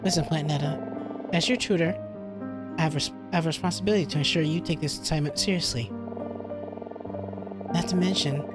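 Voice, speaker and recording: Friendly young woman student read by a game player, gently nagging tutor type, clear music bed. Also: medium pitch, a little fast, long pauses, natural voice. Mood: earnest. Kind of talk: reading visual novel dialogue aloud.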